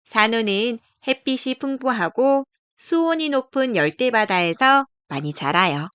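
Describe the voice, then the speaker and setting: A woman reading a children's nature picture book aloud, explaining gently to young listeners. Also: on the high side, animated, a little slow, smooth, no echo.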